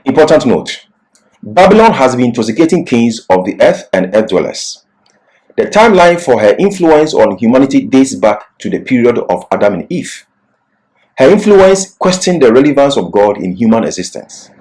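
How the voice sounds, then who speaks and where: A man delivering a scripted Bible lesson in an earnest, deliberate, emphatic manner. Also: medium pitch, big pitch swings, a little mellow, poor audio. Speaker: Bible teacher. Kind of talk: religious lesson, scripted exposition.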